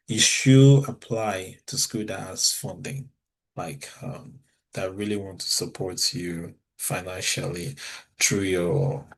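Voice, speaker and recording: A man's voice: lowish voice, low-quality recording. A man from Nigeria with a Nigerian English accent, giving a conversational talk full of fillers and restarts. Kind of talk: informal talk.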